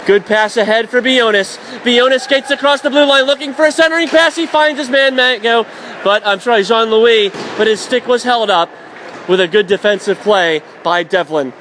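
High-voiced man calling hockey play-by-play. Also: a little hiss or hum, poor audio. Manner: rapid, rising to shouts on shots and saves. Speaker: play-by-play announcer.

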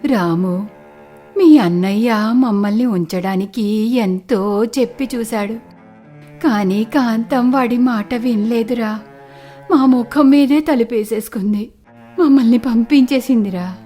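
A woman voicing a poor, sorrowful elderly village mother in an animated moral story, lamenting plaintively. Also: a little high, slow pace, soft background music.

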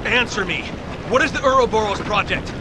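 An actor playing a tough, determined soldier hero in a video game, terse, urgent and commanding. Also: male voice, high-pitched, lively intonation, a little fast, very noisy. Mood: demanding. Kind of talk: video game cutscene acting. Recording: loud music over the voice.

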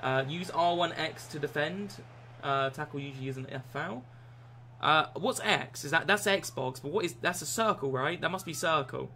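Croaky-voiced young streamer. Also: male voice, a little high, normal speed, slight background noise. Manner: swears often, shouts and laughs at the game. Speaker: gaming streamer. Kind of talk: live gameplay chat. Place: small room indoors.

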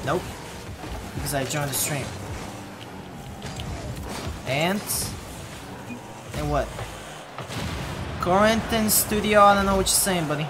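A man's voice: slow pace, long pauses, very noisy. Young man streaming, blunt and mumbling. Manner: blunt, talks back to chat, low mumbled asides. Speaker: game streamer. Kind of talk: casual live-stream chat while playing. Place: home room at a desk. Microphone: headset. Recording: game music playing loudly underneath. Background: game music.

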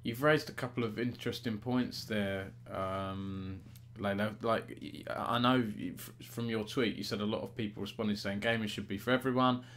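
A man's voice: lowish voice, steady tone, moderate pace, fairly bright tone, a little hiss or hum. A middle-aged man interviewing someone in a casual, conversational way over a video call. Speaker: interviewer, gaming news editor. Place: small home room, indoors, on a video call.

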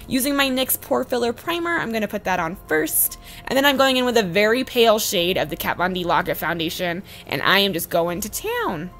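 A woman in her twenties with a slightly hoarse voice, giving a casual, chatty makeup tutorial voice-over. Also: mid-range voice, big pitch swings, brisk, few pauses, fairly bright tone. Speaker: beauty vlogger, host. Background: background music.

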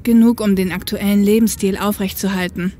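A woman's voice: mid-range voice, steady tone, a little fast, warm tone, close to an everyday voice. A woman playing a tough, upright, street-wise policewoman in an audio drama, speaking matter-of-factly. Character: tough, upright working-class policewoman and ex-biker, grown woman. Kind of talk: German audio drama acting.

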